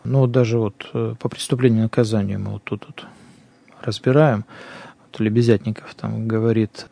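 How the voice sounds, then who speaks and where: A man's voice: fairly low pitch, fairly even intonation, moderate pace, vocal fry, dark tone. A man who is a priest, talking earnestly and thinking aloud in a conversational religious program.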